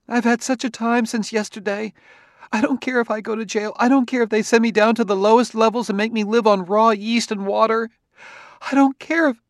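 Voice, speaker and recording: A man performs a distraught wife in an audiobook, pitched far above his natural voice. She is tearful, fearful and guilt-ridden, pleading breathlessly, in a dry recording. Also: dry recording, close to an everyday voice. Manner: high, breathless, emotional pleading. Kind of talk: audiobook character acting.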